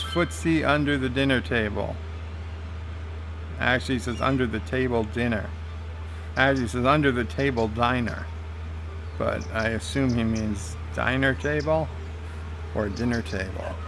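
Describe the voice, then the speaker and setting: A man streaming a game, reading viewer comments aloud and answering them casually, with lots of "uh" fillers. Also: medium pitch.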